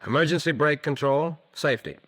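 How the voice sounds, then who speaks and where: An American man narrating a scripted 1940s instructional film in a crisp, methodical manner, on a vintage film soundtrack. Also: a little high, fairly quick, a bit bright.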